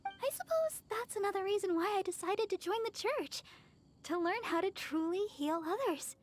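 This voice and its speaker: A woman voicing a gentle, modest young church healer girl in a video game, earnest and soft-spoken. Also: very high-pitched, expressive, normal speed. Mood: modest, reflective.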